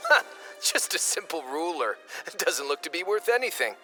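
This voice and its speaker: A man's voice: on the high side, big pitch swings, normal speed. An actor playing a greedy, arrogant, scheming male merchant in a video game. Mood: scornful. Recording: loud game music behind.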